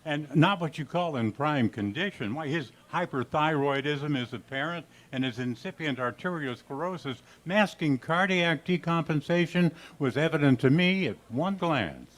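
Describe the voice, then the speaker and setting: A man's voice: a little high, lively intonation, normal speed, few pauses, natural voice. A man playing a naive, earnest, easily flustered teacher with a pedantic streak in an old-time radio comedy. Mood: amused, pedantic. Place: live stage performance at a radio history event.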